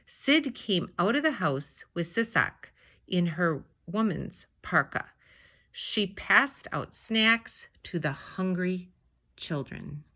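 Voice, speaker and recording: A woman reading a children's story aloud, narrating expressively in deliberate, measured phrases. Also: lowish voice, expressive, bright, forceful tone. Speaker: storybook reader.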